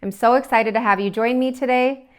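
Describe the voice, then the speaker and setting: A woman's voice: a little high, fairly even intonation, fairly quick, clear, resonant tone. A young woman hosts a casual interview podcast. She talks informally and bubbly, asking questions like a friend in an easy chat. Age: young adult.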